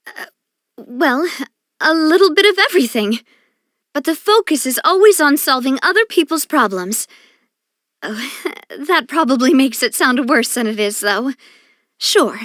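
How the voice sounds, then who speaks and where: A woman playing a cheerful, caring young leader in a video game, with an expressive, friendly, earnest and chatty delivery. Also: high voice, dry recording, studio quality, full character voice. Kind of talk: video game character voice acting.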